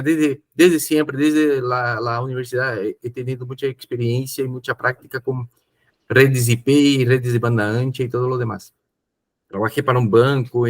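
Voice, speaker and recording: A man from Chile who grew up in Brazil, telling his career story in a casual podcast chat, rambling and repeating words. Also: mid-range voice. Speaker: podcast host.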